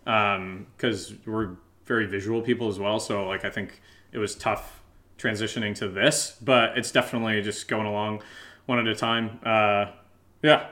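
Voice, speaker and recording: A man's voice: lowish voice, steady tone, moderate pace, bright, forceful tone. A man co-hosting a podcast, chatting casually and unscripted as he answers listener questions, thinking aloud with lots of "like". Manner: informal, thinking aloud with frequent "like". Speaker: podcast co-host and creator.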